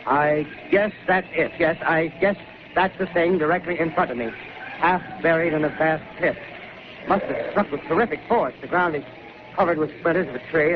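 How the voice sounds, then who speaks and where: An actor playing an on-the-spot radio reporter in a 1930s radio drama, talking in urgent, halting live commentary. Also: male voice, a little high, lightly acted. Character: excitable on-the-spot radio reporter, adult man. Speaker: field reporter. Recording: dull, hissy 1930s radio broadcast.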